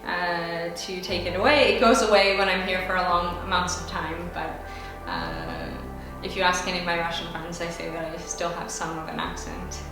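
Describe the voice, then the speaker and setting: A woman's voice: lowish voice, moderate pace, hardly any pauses. A young American woman, a ballet dancer, answering viewer questions in a chatty, upbeat way with lots of casual fillers. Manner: chatty and upbeat, with frequent "like" and "you know" fillers. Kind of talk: casual Q and A vlog. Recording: very echoey room, distant mic, music behind.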